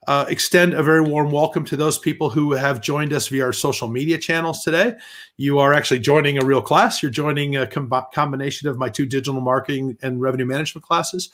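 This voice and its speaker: Man, professor, hosting an online class, halting delivery, lots of fillers. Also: on the high side, a bit bright. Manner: hesitant, many "uh" fillers. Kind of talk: class introduction.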